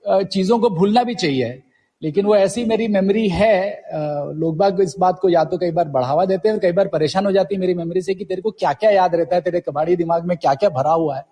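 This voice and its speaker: A man's voice: high voice, brisk, mellow, breathy edge. A middle-aged man reminiscing as an interview guest, telling stories and mixing in English words. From India. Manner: storytelling, mixes English words into Hindi.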